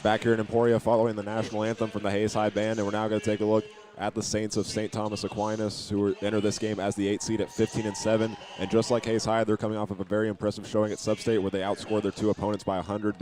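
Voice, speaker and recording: A man's voice: fairly low pitch, a little mellow. An American man giving pregame commentary as a play-by-play broadcaster, in an earnest announcer delivery.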